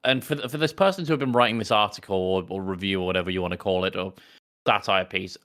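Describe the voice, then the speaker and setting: A man co-hosting a podcast, chatting casually about games in a halting way, pausing between phrases. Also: low voice, fairly bright tone. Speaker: podcast co-host. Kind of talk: casual gaming podcast chat.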